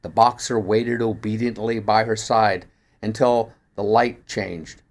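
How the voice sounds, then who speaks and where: A man reading a first-person mystery story aloud in an expressive storytelling manner, like an audiobook narrator. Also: lowish voice, measured pace. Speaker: actor reading a short story.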